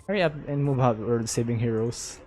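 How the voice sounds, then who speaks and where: A man's voice: mid-range voice, a little fast, dark tone, soft background music. A man with a Filipino accent doing casual livestream commentary and reading game text aloud, informally mixing English and Tagalog. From Philippines. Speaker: game streamer.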